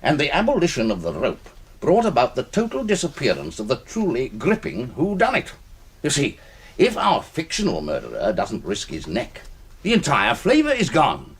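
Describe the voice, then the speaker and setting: An actor playing a peppery older British colonel in a radio drama, husky and pompous, orating in an old-fashioned, teasing way. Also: male voice, medium pitch, wildly swooping pitch, normal speed, full character voice. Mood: pompous, nostalgic.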